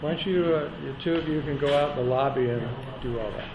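A man chairing a public board hearing, reading agenda items in a formal, steady cadence and calling on speakers. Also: fairly high pitch, quick pace, noisy background. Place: public meeting chamber. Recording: echoey meeting room with dull sound.

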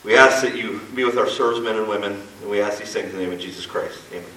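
A man giving an opening invocation at a town meeting, earnest and steady. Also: on the low side, very fast, slight background noise. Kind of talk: spoken prayer. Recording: echoey room from far off.

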